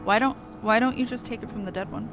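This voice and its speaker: Bright, high-voiced woman streaming a game. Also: fast talker, soft background music. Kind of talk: casual livestream chat between read-aloud game dialogue.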